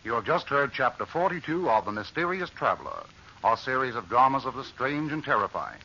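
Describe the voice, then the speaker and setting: A man's voice: medium pitch, very animated, brisk, over music. A man announcing a 1940s radio show in a formal, declamatory scripted style, on a muffled old recording.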